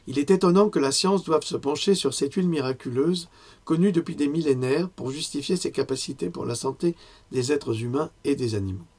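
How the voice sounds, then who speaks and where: A hoarse man giving a lecture in a conversational way, often pausing and correcting himself. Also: a little high, darkish tone. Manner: conversational, with frequent fillers and self-corrections. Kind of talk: health lecture with personal asides.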